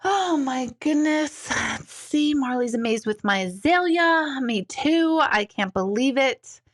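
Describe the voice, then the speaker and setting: A woman's voice: sits high, expressive, slow pace. Chatty middle-aged woman reading comments. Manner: chatty, rambling, reads comments aloud, laughs often. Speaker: intuitive medium answering viewer questions. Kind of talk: casual live-stream chat.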